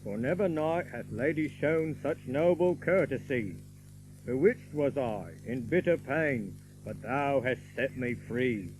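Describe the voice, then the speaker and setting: Young outlaw balladeer, slow theatrical lilting verse, old english romance, faint hum on the tape. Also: male voice, mid-range voice, expressive, a little mellow, rough recording. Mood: tender, romantic. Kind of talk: dramatic verse recitation in archaic English.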